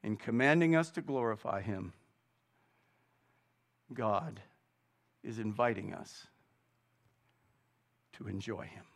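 Middle-aged pastor guy preaching, slow and deliberate, surges loud and passionate then settles. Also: lowish voice, lively intonation, vocal fry. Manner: slow and deliberate, rising to loud fervent peaks. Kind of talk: sermon.

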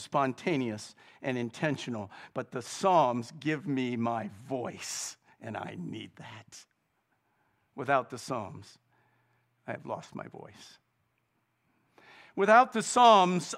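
A middle-aged man preaching a sermon, slow and deliberate, building to loud, fervent peaks before dropping back down. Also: mid-range voice. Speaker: pastor.

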